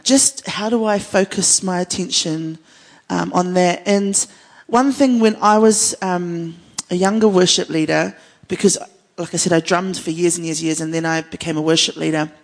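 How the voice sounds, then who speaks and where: A woman's voice: lowish voice, moderate pace. A woman with a New Zealand accent speaking off the cuff as a worship leader, earnest and conversational with playful asides. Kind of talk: unscripted panel conversation about faith. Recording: echoey hall with long reverb.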